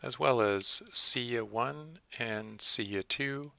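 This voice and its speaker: Bright, crisp man walking through a tutorial. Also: mid-range voice, takes it slowly, no room sound. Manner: methodical, instructional. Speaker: software tutorial presenter. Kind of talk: step-by-step screen-recording tutorial narration.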